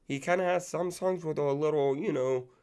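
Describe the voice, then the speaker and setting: A man's voice: on the high side, moderate pace, a little mellow, smooth. A young man in his twenties who is recovering from an illness and losing his voice, rambling casually about music with lots of fillers and swearing. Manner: rambling, loose, full of fillers and profanity. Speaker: music channel host.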